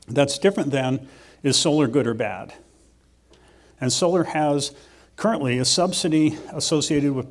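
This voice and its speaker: A man at a mid-range pitch gives an economics presentation in a relaxed, conversational manner. He works through ideas out loud and drops dry, self-deprecating jokes along the way. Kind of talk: conference presentation.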